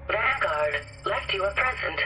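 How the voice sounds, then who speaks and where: Female game AI: very bright, slow, terse, cryptic, faint noise behind. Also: medium pitch, expressive, faint music behind, low-quality recording. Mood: cool, cryptic. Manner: terse, clipped announcements.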